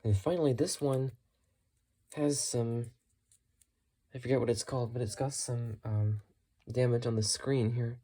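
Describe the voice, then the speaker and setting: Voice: mid-range voice, steady tone, unhurried, pauses often, dark tone. A hobbyist vlogger giving a casual, unscripted show-and-tell in a matter-of-fact way, musing tentatively while talking. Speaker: hobbyist vlogger showing thrift-store radio finds.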